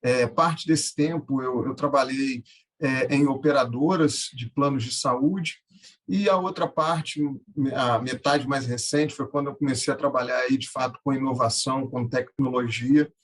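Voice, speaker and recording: A man, answering interview questions conversationally and haltingly, often repeating words. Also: mid-range voice, steady tone. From Minas Gerais, Brazil. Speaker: podcast guest, tech founder and angel investor.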